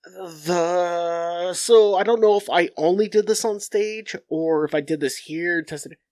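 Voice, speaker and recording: A nasal, stuffed-up young man hosts a solo podcast, talking in a slightly high voice at an unhurried, drawn-out pace. He is blunt and profane, circling back and restarting his thoughts. The sound is close and completely dry. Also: darkish tone, no room sound. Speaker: podcast host.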